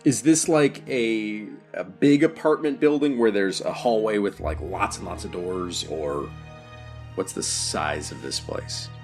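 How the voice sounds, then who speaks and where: A man's voice: fairly low pitch, a little slow, darkish tone, faint music behind. A man playing a tabletop roleplaying game, talking casually as he describes his character's actions. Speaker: player in an audio roleplaying show.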